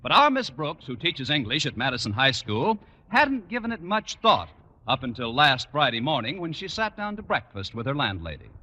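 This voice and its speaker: Forceful American male radio announcer. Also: mid-range voice, sing-song, moderate pace. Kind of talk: 1950s radio announcing and sponsor reading. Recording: reverberant old radio broadcast with music.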